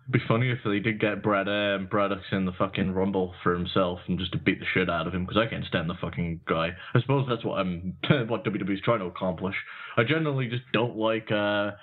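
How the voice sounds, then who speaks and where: A man's voice: sits low, brisk. A man co-hosting a podcast, giving blunt, profane opinions in casual wrestling talk. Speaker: podcast co-host. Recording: tinny phone-like line.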